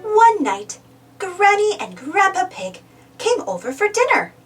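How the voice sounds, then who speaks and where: A woman reading a picture book aloud as narrator, expressively and deliberately, for young children. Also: very high-pitched, big pitch swings, recorded from a distance, over loud music.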